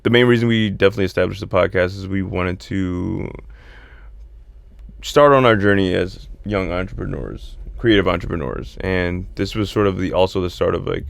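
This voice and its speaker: A young man co-hosting a podcast in a studio, laid-back and quick with dry one-liners. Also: deep voice, vocal fry, dry recording. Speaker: podcast co-host. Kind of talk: casual chat. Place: recording studio. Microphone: studio microphone.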